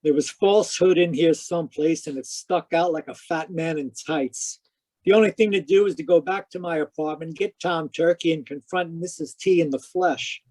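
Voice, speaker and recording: Male actor as wry private eye, fairly high voice, clipped wisecracks, old-time radio mystery. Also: normal speed, a little mellow, close to an everyday voice. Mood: tense narration. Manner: clipped, wisecracking, matter-of-fact. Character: brisk, wry hard-boiled male private detective. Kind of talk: old-time radio detective narration and dialogue. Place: home, live video call performance.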